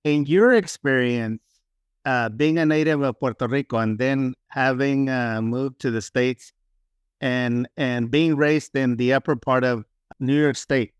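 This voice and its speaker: A man's voice: medium pitch, measured pace, smooth, dry recording, dull audio. A man hosting a podcast who introduces and interviews guests in a friendly way, adding Spanish phrases and some "uh" fillers. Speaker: podcast host.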